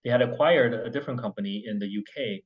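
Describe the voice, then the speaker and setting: A man in his forties from Oklahoma speaks as a panelist on a video call. His voice is low and the call audio sounds dull. He talks in a relaxed, thoughtful way with small restarts. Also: quick pace. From United States (Oklahoma).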